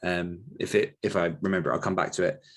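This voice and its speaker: Low-voiced man rambling casually. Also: fairly even intonation, brisk. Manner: rambling, thinking aloud. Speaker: podcast host and author coach. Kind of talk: casual question-and-answer talk.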